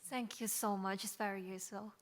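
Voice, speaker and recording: A woman's voice: mid-range voice, fairly even intonation, brisk. A woman from Macau, a native Cantonese speaker with a Cantonese accent, asking a question from the floor as a researcher.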